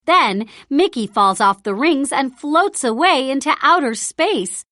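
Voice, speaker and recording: A woman narrating a children's storybook read-aloud in an expressive, deliberate storytelling style. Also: high voice, no room sound, studio quality. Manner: animated, deliberate storytelling delivery.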